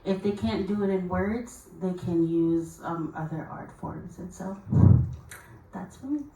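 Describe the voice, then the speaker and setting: A woman's voice: on the low side, measured pace, warm tone. A woman from Hawaii speaks earnestly and conversationally on a panel, often saying "you know.". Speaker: youth panelist. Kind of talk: panel answer. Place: conference room. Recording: echoey conference room from far off.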